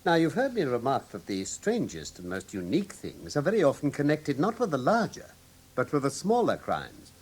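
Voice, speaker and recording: An English actor playing a brilliant, self-assured detective in a classic radio drama, with precise, teasing, lecturing diction. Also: male voice, mid-range voice, huge pitch swings, moderate pace, breathy edge.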